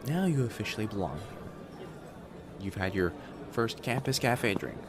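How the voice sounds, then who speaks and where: A man playing a friendly, attentive young kitsune college student in an audio roleplay, speaking directly to the listener with soft teasing and hesitant pauses. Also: fairly low pitch, very animated, over music. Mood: playful, welcoming. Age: young adult. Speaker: campus guide to a new student. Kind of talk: scripted boyfriend-style audio roleplay.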